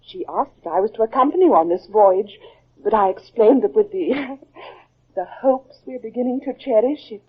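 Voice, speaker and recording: An actress playing a devoted, fretful captain's wife with a gentle, fussing manner in an old radio drama. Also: female voice, on the high side, normal speed, breathy edge. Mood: hesitant. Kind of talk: 1950s radio drama acting. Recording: dull old radio recording.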